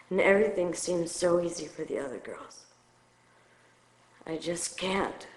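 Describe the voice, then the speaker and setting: A woman playing a troubled, stressed, self-loathing teenage schoolgirl in a video game. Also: low voice. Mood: despairing. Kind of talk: FMV game acting.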